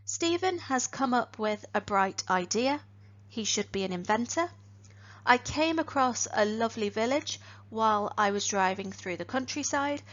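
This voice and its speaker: A woman teaching phrasal verbs in an online lesson. She speaks in a clear, deliberate way and lifts each new phrasal verb. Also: fairly high pitch, lively intonation, a bit bright, dull audio. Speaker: English teacher.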